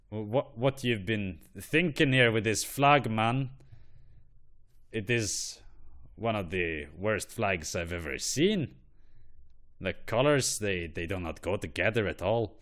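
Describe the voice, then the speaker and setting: A man's voice: lowish voice, expressive, takes it slowly, pauses often, fairly bright tone. A man faking a clumsy Jamaican drawl. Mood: scornful. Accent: put-on Jamaican, failing. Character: male mock-Jamaican flag critic.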